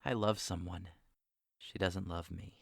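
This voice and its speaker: A man's voice: low-pitched, steady tone, measured pace, gravelly, dry recording, clean studio sound, exaggerated voice. An actor playing a lovesick young man in an audio drama, sighing and wistful, breaking into passionate outbursts. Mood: melancholy.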